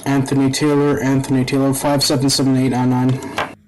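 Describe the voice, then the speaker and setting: A young man giving casual, rambling commentary while playing a game, halting and swearing now and then. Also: medium pitch, completely flat delivery, slight background noise, rough recording. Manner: rambling, halting, profane asides. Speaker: gaming YouTuber. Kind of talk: casual let's-play commentary.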